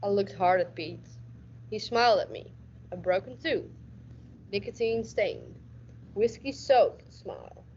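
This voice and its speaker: A woman's voice: mid-range voice, measured pace, pauses often, a little mellow, natural voice. A hard-nosed, wisecracking detective acted by a teenage woman narrates a radio play in hardboiled style. The delivery stumbles and repeats now and then, on a slightly dull recording with faint noise. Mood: dry, hardboiled narration. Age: teens. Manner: stumbles and repeats words while reading. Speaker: school radio drama actor.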